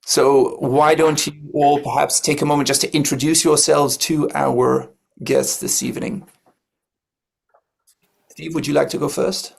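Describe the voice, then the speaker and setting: Man, online meditation host, measured and welcoming, strong vocal fry, breathy rasp. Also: a little high, little pitch movement. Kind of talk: live online meditation class introduction.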